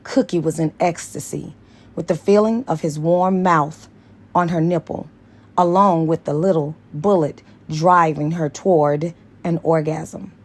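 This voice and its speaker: A woman's voice: low voice, steady tone, unhurried, a little mellow. A woman reading a story aloud as scripted audiobook narration. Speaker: audiobook reader.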